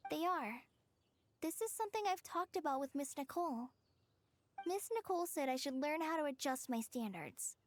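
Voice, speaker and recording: A woman voicing a gentle, humble young girl in a video game, earnest and hesitant in her manner. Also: high voice, lively intonation, normal speed. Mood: earnest, modest. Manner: earnest, timid. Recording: music behind the voice.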